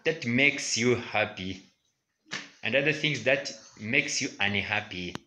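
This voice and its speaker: A man's voice: mid-range voice, takes it slowly, sharp tone, a little hiss or hum. A man interviewing a guest who prompts him, finishes his sentences and repeats his answers back. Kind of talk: interview questions.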